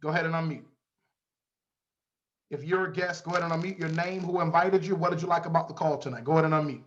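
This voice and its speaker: High-voiced man hyping a group call. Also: fairly even intonation, fast talker. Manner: repetitive, rallying, pushing guests to unmute. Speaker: host of a recruitment call. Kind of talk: hype-driven group call hosting. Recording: dull lo-fi call audio.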